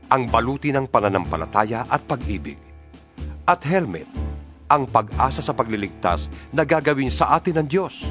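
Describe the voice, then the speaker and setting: Dramatized scripture: male actor as exhorting apostle, solemn and deliberate yet highly expressive in pitch, music underneath. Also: lightly acted. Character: apostle writing earnest, exhorting letters to fellow believers. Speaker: narrator of a dramatized Bible.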